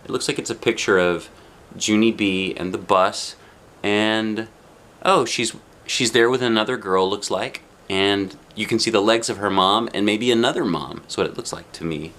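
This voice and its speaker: A man's voice: on the low side, normal speed, fairly bright tone. A man reading a children's book aloud, with casual asides to the listener.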